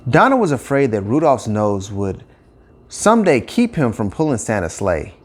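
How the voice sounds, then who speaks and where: Animated male children's storyteller. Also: mid-range voice, moderate pace. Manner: expressive, emphatic phrasing. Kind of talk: scripted children's story narration.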